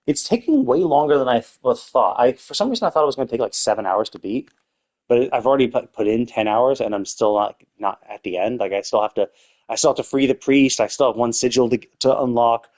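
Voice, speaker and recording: A man's voice: mid-range voice, expressive, fast talker, darkish tone. A man chatting casually on a gaming livestream in a rambling, conversational way, with loose, casually profane talk. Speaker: live streamer.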